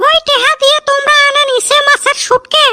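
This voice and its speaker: Extremely high, shrill nagging village wife. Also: female voice, little pitch movement, fairly quick, pushed and bright, no room sound, studio quality, heavily acted. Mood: nagging, aggrieved. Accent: northern Bangladeshi Rangpuri. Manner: scolding, complaining. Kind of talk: comedy cartoon voice acting in rural dialect.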